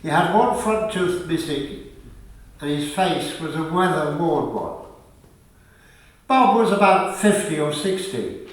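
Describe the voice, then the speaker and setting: Male radio play narrator, fairly high voice, unhurried scripted reading, strongly echoing room. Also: lively intonation. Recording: very echoey room, far from mic.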